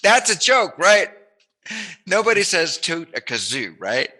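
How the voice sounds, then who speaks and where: A man's voice: high-pitched, very animated, a little slow, pushed and bright. A man hosting a casual chat interview, talking in a playful, jokey, musing way. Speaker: interviewer, host.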